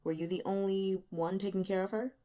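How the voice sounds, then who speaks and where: A woman reader plays an unmoved male investigator in an audiobook. The questioning is blunt, measured and quietly pressing. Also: medium pitch, steady tone, lightly acted. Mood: probing.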